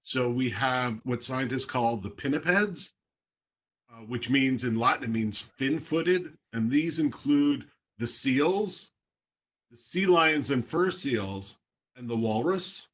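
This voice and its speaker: A man's voice: medium pitch, lively intonation, slow. Friendly Canadian-raised man explaining plainly. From Toronto, Canada. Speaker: marine biologist guest. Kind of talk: casual explanatory talk on a video call. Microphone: video call.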